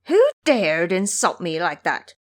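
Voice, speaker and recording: A woman's voice: lowish voice, big pitch swings, measured pace. A woman voicing a proud, hot-tempered male monkey warrior in an audio drama, with a boastful, declamatory delivery. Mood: indignant, boastful. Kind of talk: audio drama character acting.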